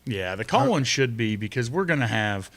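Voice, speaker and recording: A man's voice: medium pitch, sing-song, moderate pace, fairly bright tone. A man hosting a podcast in an easygoing, plain-spoken way. He lays out the conditions and the plans for the night step by step, with loose fillers along the way. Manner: plain-spoken, laid-back, talks through conditions and plans. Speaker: podcast host. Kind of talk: casual chat.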